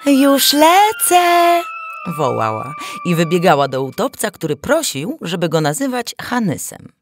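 A woman narrating a fairy tale in a theatrical, expressive storytelling manner. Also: sits low, moderate pace. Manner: theatrical, animated. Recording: music behind the voice. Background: music.

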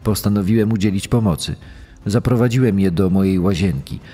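Actor as deep, dark-voiced accused killer testifying. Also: male voice, brisk. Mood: grave, confessing. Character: male accused child killer giving self-justifying court testimony. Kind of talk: dramatised testimony in true crime audio. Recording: long echoing hall reverb.

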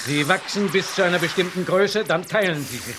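Measured, high-voiced professor. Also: male voice, animated, noisy background. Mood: explaining. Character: kindly, thoughtful older scientist professor. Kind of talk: German audio drama acting.

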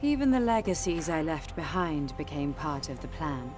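An actress playing a bitter woman soldier turned enemy, slow and mocking. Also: very animated. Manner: slow, mocking, swinging pitch. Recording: game cutscene with music.

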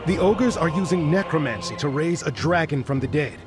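Man playing an earnest, high-voiced young soldier. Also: expressive, fairly quick, close to an everyday voice. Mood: urgent, worried. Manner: earnest, urgent delivery. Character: young male human soldier, earnest and urgent. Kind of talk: fantasy video game acting. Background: dramatic music.

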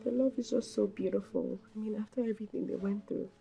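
A woman's voice: mid-range voice, fast, creaky, mellow, faint music behind. A woman playing an emotional young employee who exclaims and stumbles over her words. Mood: tearful. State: crying. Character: young female employee, emotional and easily moved. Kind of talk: amateur skit acting.

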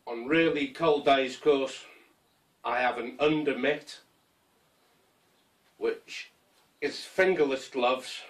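A man in his fifties talks slowly through his camping kit, pausing often with ums and restarts. He sits a few feet from the camera in a small conservatory, so his voice sounds a little distant. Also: medium pitch. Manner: unhurried, rambling, with frequent ums and restarts. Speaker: survival gear vlogger. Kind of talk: casual show-and-tell. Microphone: camera mic from a few feet away.

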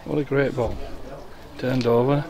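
A man's voice: medium pitch, steady tone, unhurried, a little mellow. A man giving casual commentary on a bowls match, explaining each shot in a chatty way, recorded outdoors on a camera mic. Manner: chatty, explaining the play as it happens. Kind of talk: casual amateur sports commentary. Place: outdoors at a bowling green.

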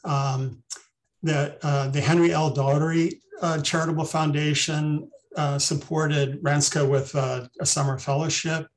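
An older man, a retired biology professor, giving an online talk over a video call, halting with many ums and restarts. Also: a little high, level tone, low-quality recording.

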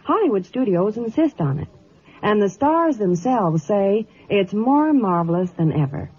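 A woman's voice: mid-range voice, sing-song, measured pace, darkish tone, breathy edge. An American woman giving scripted 1950s radio gossip and advertising with a chatty, polished sales delivery. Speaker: Hollywood reporter, ad pitchwoman. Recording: tinny, fuzzy old radio broadcast.

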